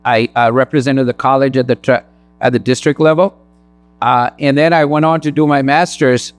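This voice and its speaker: A man from Sri Lanka speaks as a guest, earnestly and in quick bursts. His voice sits at a middle pitch and sounds dry and close, with no room sound. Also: steady tone, no echo, soft background music. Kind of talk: personal talk at a community event. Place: mosque.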